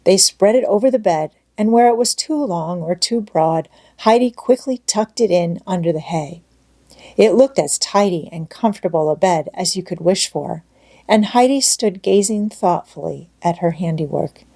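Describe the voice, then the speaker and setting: A woman reading a children's classic aloud as scripted storybook narration, in a measured, expressive manner. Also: fairly low pitch. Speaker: audiobook narrator.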